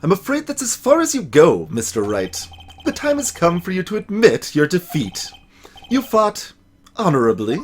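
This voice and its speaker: A man's voice: high-pitched, wildly swooping pitch, slight background noise. A man voicing a smug, flamboyant businessman on the witness stand in a video game, pompous and florid. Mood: gloating. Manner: pompous, florid wording, drawn-out words.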